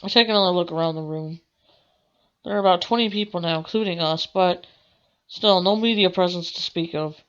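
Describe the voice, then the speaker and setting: A woman reading a visual novel aloud as a let's play, with a halting delivery that corrects itself. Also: lowish voice, fairly bright tone, clear, resonant tone. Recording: dull lo-fi mic with music behind. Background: game music.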